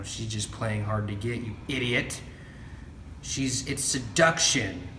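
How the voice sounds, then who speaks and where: A man playing an obsessive, deluded young student on trial, with casual slangy college speech, in an amateur student film. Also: mid-range voice. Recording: echoey, distant mic, clear background noise.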